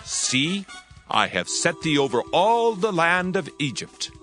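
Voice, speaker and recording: A man's voice: fairly high pitch, very animated, takes it slowly, voice pushed up, heavily acted. A man voicing a stately, commanding king of ancient Egypt in grand proclamations for a dramatized Bible audio story. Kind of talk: dramatized scripture reading. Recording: loud music over the voice.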